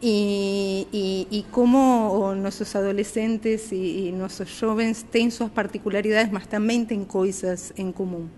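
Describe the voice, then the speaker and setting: A woman from Argentina with an Argentine Spanish accent, a teacher answering interview questions, hesitant and pausing often to search for words. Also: mid-range voice, steady tone, darkish tone, slight background noise. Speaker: teacher, group facilitator.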